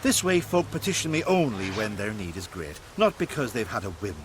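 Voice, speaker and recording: An actor playing a jovial, worldly-wise druid in a video game, speaking in an expressive, theatrical way. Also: male voice, lowish voice, fairly quick, background noise. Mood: pleased, philosophical. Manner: expressive, theatrical, good-humored. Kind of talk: scripted video game acting.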